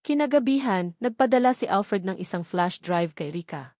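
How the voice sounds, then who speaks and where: A woman narrating a scripted romantic drama story in a measured, dramatic storytelling manner. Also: mid-range voice, lively intonation, a little mellow, no room sound, studio quality.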